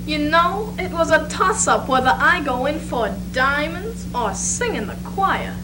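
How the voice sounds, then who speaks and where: A woman's voice: high voice, expressive, unhurried. A woman playing a brazen, flirtatious 1930s film vamp with a languid, suggestive delivery on a noisy stage recording. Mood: sultry, teasing. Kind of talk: 1930s film star impression in readers theatre.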